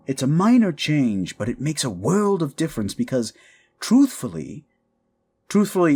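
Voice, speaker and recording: A man's voice: mid-range voice, darkish tone, slightly rough. A man talking casually and reflectively to his listeners, earnest and thoughtful, rambling a little as he goes. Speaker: audio drama voice performer and writer. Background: music.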